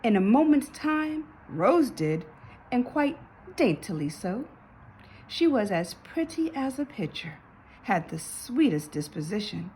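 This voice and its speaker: A woman reading a children's story aloud in an expressive way, with dramatic emphasis and drawn-out words. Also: on the high side, a little hiss or hum, rough recording. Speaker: storybook reader.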